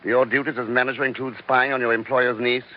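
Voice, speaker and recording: An actor playing a suave, unflappable secret agent with a debonair manner in 1940s radio style. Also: male voice, mid-range voice, level tone, brisk, lightly acted. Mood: cool, dry. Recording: muffled, noisy old radio broadcast.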